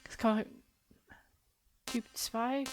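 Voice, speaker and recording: A woman with a fairly high voice talks casually through a video game playthrough, half to herself. Faint game music plays behind her. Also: steady tone, slow. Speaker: gamer doing a playthrough. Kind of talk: casual let's play chat.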